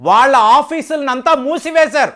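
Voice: sits high, lively intonation, normal speed, fairly bright tone. Commentator with emphatic, questioning delivery. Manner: rhetorical questioning, emphatic, conversational explaining. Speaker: news commentary channel host. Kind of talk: news analysis monologue to viewers.

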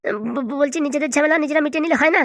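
A woman's voice: high voice, steady tone, racing, no echo, studio quality, natural voice. A woman voicing a meek young husband who pleads hesitantly in a comic cartoon. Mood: flustered, meek. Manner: hesitant, placating, stammering. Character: meek, pleading young student husband, henpecked son-in-law. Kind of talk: comic cartoon drama dialogue.

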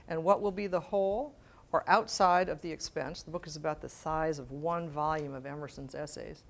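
An older woman giving a public lecture, reading letters aloud in a measured, precise way and adding informal asides. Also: on the low side. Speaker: guest lecturer. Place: medium-sized library meeting room with seated audience. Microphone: lapel mic.

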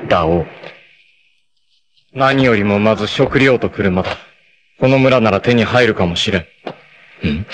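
An actor playing a proud, passionate, fiercely protective martial-arts warrior in an anime, with a dramatic, terse, masculine delivery. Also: male voice, lowish voice, a little slow, pauses often, a little hiss or hum. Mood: brooding, solemn. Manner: dramatic, terse, masculine, swinging from hushed to shouting.

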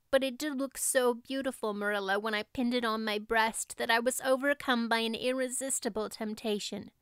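A woman's voice: on the high side, fairly bright tone, clear, resonant tone, dry recording, clean studio sound, close to an everyday voice. A woman voicing an imaginative, earnest young girl with a theatrical, pleading manner, reading from a storybook.

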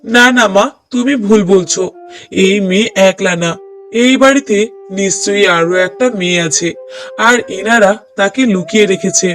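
A man's voice: sits high, moderate pace, fairly bright tone, faint music behind. A man voicing an insistent, lovestruck young son in a family cartoon, earnest and pleading. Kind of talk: Bengali moral-story cartoon dubbing.

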